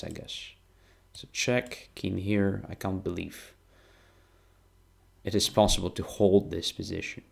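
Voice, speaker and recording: A man with a Ukrainian accent commentating live on chess, thinking aloud in short, halting phrases. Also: low-pitched, vocal fry. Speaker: chess streamer and international master. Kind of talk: live game commentary and analysis.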